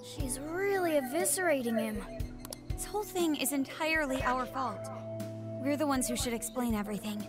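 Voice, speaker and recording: A woman's voice: high-pitched, animated, moderate pace. An actress playing a kind, earnest teenage girl who keeps the peace, in an English video game dub. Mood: resolute. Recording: game music behind the voice.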